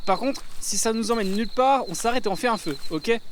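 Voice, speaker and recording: A man acts out a complaining, sardonic young-sounding trekker in an audio drama. His voice is high for a man and quick, over clear forest ambience with crickets. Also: slight background noise, close to an everyday voice. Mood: insistent, wry. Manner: sardonic, colloquial. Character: grumbling, sarcastic male traveller, quick to complain. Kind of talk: French audio drama acting.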